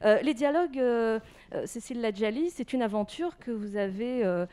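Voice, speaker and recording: Woman, moderator, slightly high voice, hesitant, many fillers. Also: animated, normal speed, warm tone, clean tone. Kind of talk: panel moderation.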